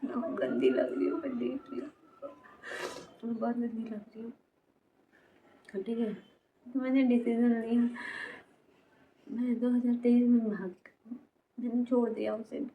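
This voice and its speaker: A woman in her twenties speaks confessionally to camera in a fairly high voice. Her delivery is halting, circling back over words and leaving sentences unfinished. The sound is thin and phone-like, with some ambient noise behind her. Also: fairly even intonation. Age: 20s. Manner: halting, repetitive, trailing off mid-sentence. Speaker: vlogger. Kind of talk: personal confessional talk to camera. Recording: tinny phone-like sound, noisy background.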